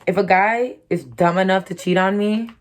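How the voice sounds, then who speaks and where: A woman's voice: mid-range voice, steady tone, moderate pace. A young woman from Connecticut with her own distinctive American accent, telling a candid, profane storytime, full of "like" and "you know". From Connecticut, USA. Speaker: YouTuber.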